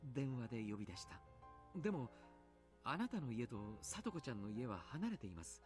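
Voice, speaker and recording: A calm adult male doctor, voiced by an actor, questions in a soft, formal and measured way. His pitch still moves a lot. Faint game music plays underneath. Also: lightly acted. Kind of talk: Japanese game voice acting.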